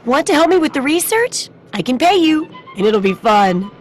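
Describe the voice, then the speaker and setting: A woman's voice: high-pitched, sing-song, moderate pace, faint music behind. A woman voicing a cheerful, eccentric shopkeeper and amateur researcher in a video game, with a perky, chatty manner. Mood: chirpy, eager. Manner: perky, chatty, singsong questioning tags.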